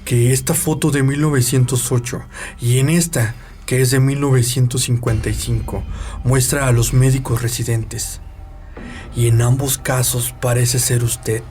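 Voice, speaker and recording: An actor playing a sly, gloating hospital nurse who blackmails with a smug, mocking manner in a dramatized audiobook. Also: male voice, medium pitch, darkish tone, natural voice. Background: background music.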